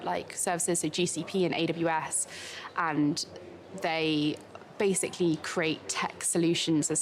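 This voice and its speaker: A woman with a very low voice talks casually in an interview. Her voice has a gravelly fry, and she sounds relaxed and enthusiastic, with plenty of fillers. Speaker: tech employee interviewee.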